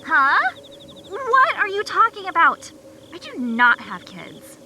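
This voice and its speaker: A woman's voice: extremely high pitch, wildly swooping pitch, takes it slowly, heavily acted. A woman playing a sassy, lovesick young ex-cultist in a visual novel game, with slangy, dramatic phrasing. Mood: indignant. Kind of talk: animated visual novel acting. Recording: game audio with music behind.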